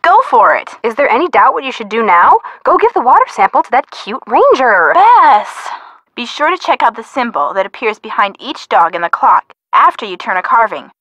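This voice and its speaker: Bright, animated young American sidekick. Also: female voice, a little high, normal speed, no echo. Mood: helpful, coaxing. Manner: expressive, playful, teasing. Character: young female friends of a teen detective, chatty and teasing. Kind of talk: video game voice acting.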